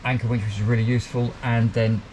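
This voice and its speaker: A man's voice: medium pitch, flat delivery, fast talker, darkish tone, slight background noise, rough recording. A man, giving an upbeat walkthrough tour of a small motor boat cabin, filmed on a handheld camera. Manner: chatty, upbeat, pointing out features as he moves. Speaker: boat reviewer.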